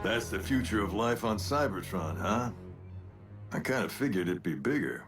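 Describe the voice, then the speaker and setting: A man voicing a brash robot warrior in an animated action series, laconic and wry with tough-guy swagger. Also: lowish voice, normal speed, lightly acted. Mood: wry, cocky. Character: brash robot warrior, gruff cocky maverick soldier. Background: loud dramatic music and soundtrack.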